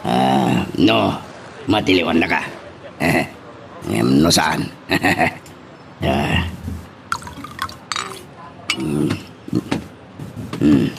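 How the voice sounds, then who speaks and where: Very deep-voiced, loyal henchman. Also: drawn-out words, pauses often, heavily acted. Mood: guarded. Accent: Ilocano. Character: loyal male henchman of a crime family, deferential. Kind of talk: Filipino radio drama acting. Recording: clear background noise.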